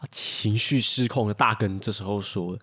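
A man's voice: on the low side, steady tone, moderate pace, a bit bright, husky, no echo, studio quality. A man improvising comic fairy tales as a podcast storyteller.

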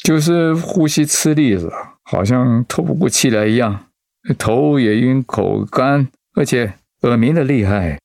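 A man playing a gentle, stoic, ailing middle-aged husband in a radio drama, hesitant and reassuring. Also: mid-range voice, expressive, a little slow, gravelly, no echo, studio quality. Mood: weary, strained.

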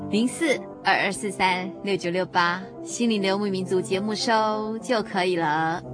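A woman's voice: mid-range voice, measured pace, loud music behind the voice. A woman reading a scripted programme announcement.

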